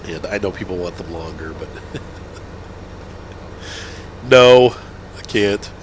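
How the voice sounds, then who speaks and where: Man, stuffed-up nose, head cold, rambling game commentary, fairly low voice, faint hiss behind. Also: takes it slowly, poor audio. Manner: rambling, self-correcting, chuckling. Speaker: gaming channel host. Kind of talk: casual let's-play commentary.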